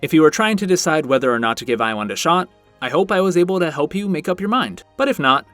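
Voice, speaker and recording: A man's voice: on the high side, lively intonation, fast talker, fairly bright tone, dry recording. A man hosting a review channel, narrating a scripted video in a conversational way with wry asides. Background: background music.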